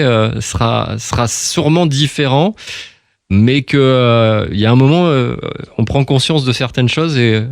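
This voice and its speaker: A man's voice: mid-range voice, dry recording, studio quality. A man hosting a casual radio interview, asking informal, rambling questions. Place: radio studio.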